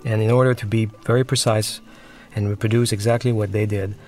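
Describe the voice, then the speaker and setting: A man giving unscripted commentary on his own film, talking in a conversational, reflective and slightly halting way. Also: lowish voice, level tone, a little mellow. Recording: film soundtrack music playing behind. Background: film soundtrack music.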